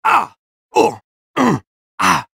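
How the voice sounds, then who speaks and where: A man's voice: very slow, pushed and bright, no echo, studio quality. A man voicing a cocky, music-obsessed street-racing car in a video game, with a slangy, brash, exclamatory delivery. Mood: excited exertion. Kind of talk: video game character barks.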